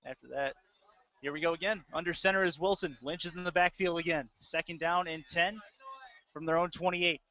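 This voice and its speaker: Male football play-by-play commentator, forward bright tone, clipped and casual, slightly muffled webcast sound. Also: fairly high pitch, fairly even intonation, brisk. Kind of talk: live American football commentary. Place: indoor audio-only webcast. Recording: dull lo-fi audio.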